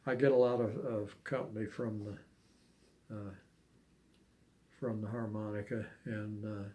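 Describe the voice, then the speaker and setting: An older man chatting casually as an interviewee, recalling things in a halting, hesitant way with long pauses. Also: lowish voice, flat delivery, dark tone, slight background noise. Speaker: amateur harmonica player being interviewed. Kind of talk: casual reminiscing chat.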